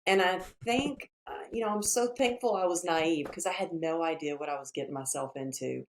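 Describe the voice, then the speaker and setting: Woman, texan accent, low voice, earnest storytelling guest. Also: a little fast. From Texas. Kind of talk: storytelling interview.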